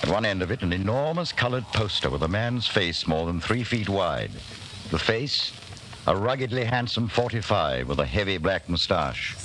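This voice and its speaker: An ominous narrator of a dystopian radio play from the 1940s. His lowish voice is dramatic and deliberate with sweeping intonation, on a clearly noisy old recording. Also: lively intonation, fairly bright tone. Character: ominous second-person narrator of a dystopian tale.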